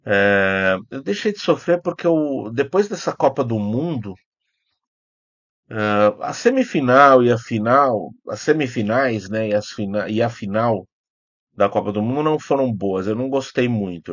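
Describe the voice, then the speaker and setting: A man with a fairly low voice and a Brazilian accent talks as if to his channel's followers, loosely and without a script. He wanders through his sentences, hesitating and correcting himself as he goes. The sound is somewhat dull and lo-fi. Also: expressive, normal speed, dry recording. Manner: rambling, hesitant, self-correcting. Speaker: YouTube host, film critic. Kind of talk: casual unscripted vlog talk, answering comments.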